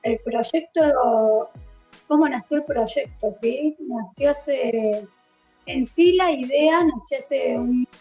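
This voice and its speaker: A woman with a Córdoba Argentine accent answers interview questions in a fairly high voice. She talks hesitantly, with many fillers and repeated words. Faint music plays behind her. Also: slow, a little mellow, poor audio. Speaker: guest. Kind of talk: online interview.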